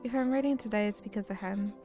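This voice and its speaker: A woman with a weak voice reading the lines of a remorseful grown son in a story game, in a slow, quiet, confessional way. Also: mid-range voice, faint music behind, lightly acted. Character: young adult son, guilt-ridden writer.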